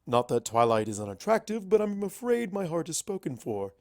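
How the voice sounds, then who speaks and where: A man's voice: on the high side, sing-song, fairly quick, creaky, a little mellow, no room sound, studio quality. A man voicing a courteous, scholarly male pony wizard from the past, formal and explanatory, in a story reading. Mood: amused, courteous.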